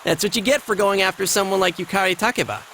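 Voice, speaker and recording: A man's voice: sits high, fast talker, sharp tone, slight background noise. A man voicing a cocky, teasing schoolboy in an anime game, casual and slangy. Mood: gossipy. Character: cocky, teasing, gossipy teenage schoolboy pal.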